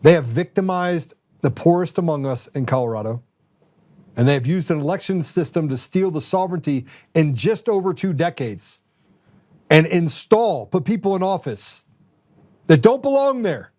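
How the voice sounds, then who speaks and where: A man's voice: fairly high pitch, expressive, moderate pace, warm tone. A man hosting a political talk show, giving opinionated commentary in an emphatic way and repeating phrases for effect.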